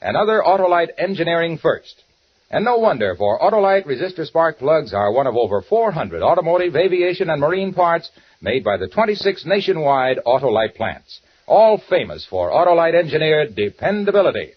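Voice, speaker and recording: A man's voice: on the high side, very animated, a little fast, husky. A man announcing and reading ad copy in an upbeat, salesy 1940s radio style, on a dull old recording with music.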